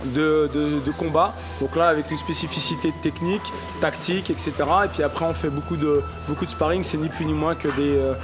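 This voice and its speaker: A man's voice: fairly high pitch, steady tone, a little fast, hardly any pauses, over music. A man in his thirties from the Paris region, a Thai boxing club co-founder giving interview answers in an explanatory way, with many fillers and restarts. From Paris region, France. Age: 30s.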